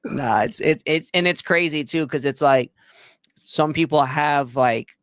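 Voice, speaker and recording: A man's voice: a little high, a little slow, a bit bright, dry recording, studio quality. A man hosting a casual livestream talk, laid-back, laughing often and reading out chat comments. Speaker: livestream host.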